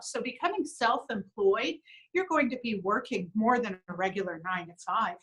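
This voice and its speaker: A woman's voice: fairly high pitch, rough recording. A middle-aged woman talking as an interview guest over a video call, upbeat and earnest, often acting out what others said. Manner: upbeat, earnest, quotes others in lively voices. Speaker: business owner, interview guest. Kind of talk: casual conversational interview.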